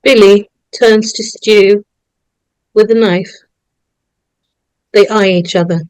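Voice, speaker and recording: Slow-paced woman narrator. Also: medium pitch, steady tone, darkish tone, smooth, dry recording, rough recording. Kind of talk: reading stage directions at a script table read.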